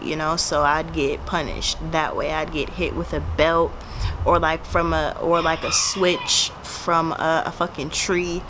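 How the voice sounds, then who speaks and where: A woman's voice: low voice, noisy background. A twenty-year-old woman from Jamaica with a Jamaican accent, telling a personal story to camera in a casual, blunt and profane way. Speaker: vlogger.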